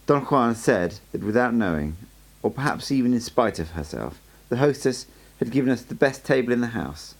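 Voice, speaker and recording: A man reading an audiobook aloud as an amateur narrator, in a steady storytelling way. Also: medium pitch, normal speed, hardly any pauses, low-quality recording. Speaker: audiobook reader.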